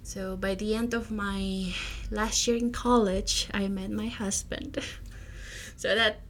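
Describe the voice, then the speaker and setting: A woman's voice: medium pitch, fairly bright tone, slight background noise. A young woman from Peru, a native Spanish speaker with a Peruvian accent, earnestly telling her personal story as a podcast guest, with some fillers and restarts.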